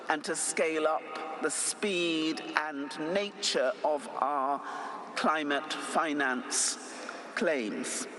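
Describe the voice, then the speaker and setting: A man moderating a summit session, giving formal, courteous closing remarks to the dignitaries. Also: on the high side. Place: conference hall.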